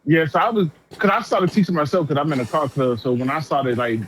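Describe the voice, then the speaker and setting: A man's voice: fairly high pitch, lively intonation, quick pace. Talkative man on a noisy phone call. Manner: talkative, slangy, repeats himself. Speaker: prospective renter, self-taught auto body painter. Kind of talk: casual phone conversation.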